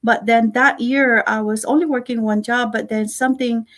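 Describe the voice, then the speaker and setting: A middle-aged woman from the Philippines, a guest chatting on a video call, talking warmly with many fillers and soft laughs. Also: fairly high pitch, fairly even intonation, normal speed. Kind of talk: casual interview chat.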